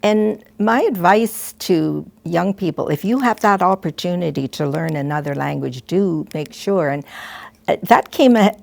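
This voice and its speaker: An older woman, a retired school principal, telling gentle, halting stories and advice to students. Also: lowish voice, vocal fry, dry recording. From Canada. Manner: gentle, halting, with frequent restarts and soft asides. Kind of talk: reflective storytelling to students.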